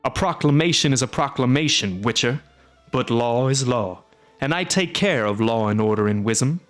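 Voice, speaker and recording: A man voicing a loud, gruff middle-aged castellan who speaks emphatically with sharp rises. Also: medium pitch, moderate pace, faint music behind, lightly acted. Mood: blustering. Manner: exclaiming, emphatic, rising.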